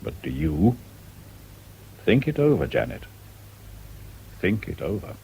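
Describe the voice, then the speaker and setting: An actor playing a calm, probing man in a radio drama, speaking deliberately and persuasively. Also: sits low, vocal fry, a little hiss or hum, heavily acted. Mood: quietly insistent. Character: calm, probing man quietly pressing for doubt.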